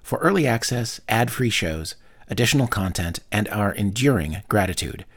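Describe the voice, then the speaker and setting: A man's voice: fairly low pitch, animated, moderate pace, a bit bright. A man reading a scripted podcast support appeal as an announcer.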